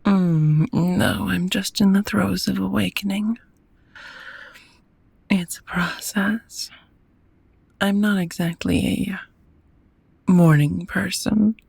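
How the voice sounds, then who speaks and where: A woman playing a gentle, courteous female vampire roommate in an intimate ASMR roleplay, speaking in a tender, softly formal, breathy half-whisper. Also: medium pitch, fairly even intonation, slow, pauses often, dry recording, full character voice. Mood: gently curious.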